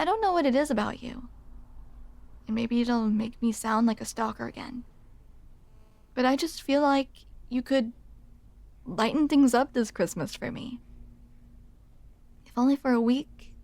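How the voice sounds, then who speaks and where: A woman's voice: fairly high pitch, a little slow, vocal fry, pauses often, a little mellow, dry recording. A young woman playing a grumpy, smitten barista in an intimate ASMR roleplay, awkward and hesitant as she confides in the listener. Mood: shy, vulnerable. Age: young adult. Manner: awkward, hesitant, self-deprecating, confessional.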